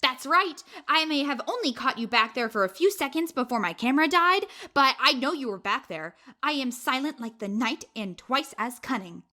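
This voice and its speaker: A brash young woman sleuth in an audio drama, played by an actress, with a high, bright voice that swings widely. She is self-important and theatrical, quick to boast and to accuse. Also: expressive. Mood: smug, accusing.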